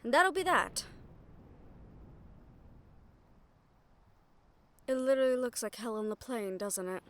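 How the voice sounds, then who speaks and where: Woman voicing a sardonic lady thief for fantasy audio rp, highish voice, nervy but blunt, sighs and dramatic pauses. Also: big pitch swings, fairly bright tone. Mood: grim, resolute. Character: wry, foul-mouthed female shadow thief, nervous but frank. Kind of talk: fantasy audio roleplay addressed to listener.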